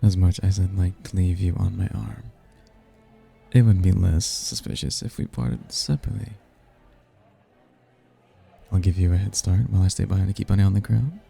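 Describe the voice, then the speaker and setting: A man playing a suave, seductive male demon in an intimate ASMR roleplay, teasing and flirtatious, with a husky, gravelly murmur. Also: warm tone, faint music behind, heavily acted. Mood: sly, seductive. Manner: slow, flirtatious, breathy murmurs with low chuckles.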